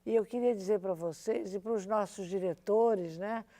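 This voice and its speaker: A woman's voice: medium pitch, normal speed, dark tone. An older woman, a radio drama veteran, reminiscing emphatically and seeking agreement. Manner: emphatic, repeats points, seeks agreement. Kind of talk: reminiscing in conversation.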